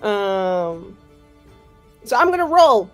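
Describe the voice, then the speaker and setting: A woman's voice: medium pitch, big pitch swings, takes it slowly, soft background music. A woman talking casually as a player in a tabletop roleplaying game.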